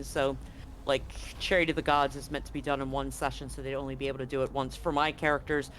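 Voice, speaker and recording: A man's voice: medium pitch, fairly bright tone, a little hiss or hum, low-quality recording. A man acting as game master, narrating a live tabletop roleplaying game and explaining things to players and audience.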